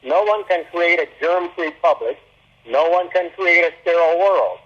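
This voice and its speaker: A man with a husky voice speaking as the chair of a public meeting. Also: a little high, expressive, moderate pace. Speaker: board chair. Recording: dull, muffled teleconference audio.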